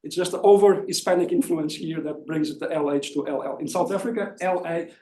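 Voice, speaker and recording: A high-voiced man answers audience questions as an academic presenter. His tone is soft-edged and mellow. He explains step by step, often tagging a point with "right?". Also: brisk. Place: indoor conference room. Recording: echoey room, distant mic.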